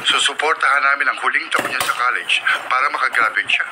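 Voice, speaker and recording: A man's voice: mid-range voice, fast, lightly acted. Man acting eager Filipino would-be father, heavy noise. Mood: excited, hopeful. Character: hopeful, eager husband longing to be a father. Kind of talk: Filipino radio drama acting. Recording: very noisy radio drama sound.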